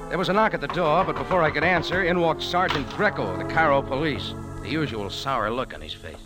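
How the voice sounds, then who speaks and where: An actor playing a tough, sardonic American bar owner in a hardboiled 1940s radio drama style. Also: male voice, on the high side, animated, fairly quick, close to an everyday voice. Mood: hardboiled narrating. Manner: sardonic, clipped. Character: tough, wisecracking American bar owner narrating his adventure. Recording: old radio drama recording, music underneath.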